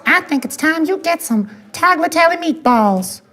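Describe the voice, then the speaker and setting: A man voicing a chirpy, playful male chihuahua puppet with a squeaky falsetto, coaxing and giggly, in a TV sitcom. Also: expressive, normal speed, sharp tone, soft background music, full character voice.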